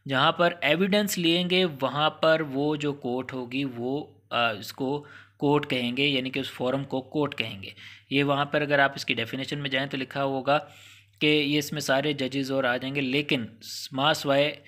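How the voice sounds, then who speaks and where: A man with a Pakistani accent teaches in a bright, forceful voice at a middling pitch. He explains things informally, like a lecturer talking straight to students, and drops in English legal terms as he goes. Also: fairly even intonation, normal speed. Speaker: law lecturer.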